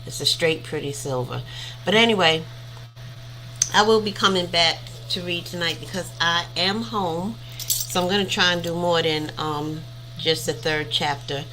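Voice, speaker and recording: A woman's voice: fairly low pitch, a little slow, bright, forceful tone, a little hiss or hum, low-quality recording. A woman in her fifties hosting a casual livestream chat, rambling and chatty as she thanks viewers by name. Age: 50s. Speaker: livestream host.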